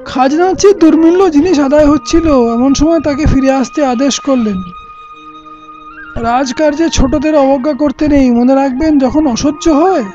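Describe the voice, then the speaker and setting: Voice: high voice, moderate pace, warm tone, close to an everyday voice. An actor playing a candid male royal minister in an audio play, counselling his king in formal, reasoned, respectful speech. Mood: reasoned, firm. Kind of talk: scripted Bengali audio play acting. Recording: dull lo-fi recording with music.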